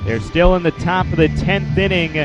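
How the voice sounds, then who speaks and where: A man's voice: high-pitched, fairly even intonation, over music. Young man calling baseball play-by-play. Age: 20s. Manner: measured, descriptive, fills pauses with statistics. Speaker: play-by-play sportscaster. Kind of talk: live radio baseball play-by-play commentary.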